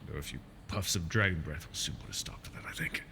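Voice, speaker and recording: A man's voice: very deep voice, fast, gravelly, noisy background. A man voicing a proud, wounded male dragon in an ASMR fantasy roleplay, haughty and scornful while he is tired and in pain. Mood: relieved, grudging.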